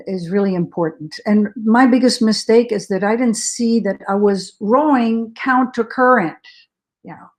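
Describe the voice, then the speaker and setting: A woman's voice: medium pitch, darkish tone. A middle-aged woman from Switzerland, giving earnest career advice on a webinar panel, talking with frequent hesitations and fillers. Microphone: video call.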